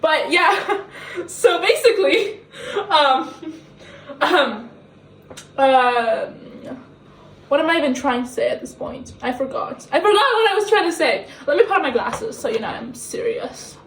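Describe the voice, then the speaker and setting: A woman's voice: high voice, unhurried, slight background noise. Giggly young woman, echoey kitchen. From Middle East. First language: not English. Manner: giggly, excitable, self-mocking. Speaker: book reviewer. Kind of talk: casual rambling book review. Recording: echoey kitchen, camera at a distance.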